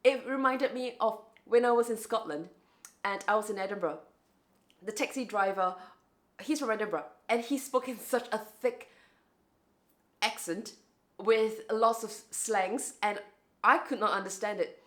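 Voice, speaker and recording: A woman with a light, internationally smoothed Singaporean accent, talking through a casual, reflective vlog and often restarting and correcting herself. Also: mid-range voice, measured pace, long pauses, a bit bright. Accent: lightly Singaporean English, internationally smoothed. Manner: chatty, with frequent restarts and self-corrections. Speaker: vlogger.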